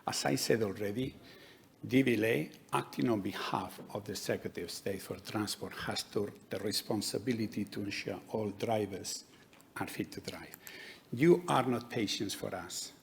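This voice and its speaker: A man with a Spanish accent giving a measured, explanatory conference talk as a doctor. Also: on the low side, gravelly, a little hiss or hum. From Spain. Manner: measured, explanatory, with light humour. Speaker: conference speaker, DVLA doctor. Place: conference hall.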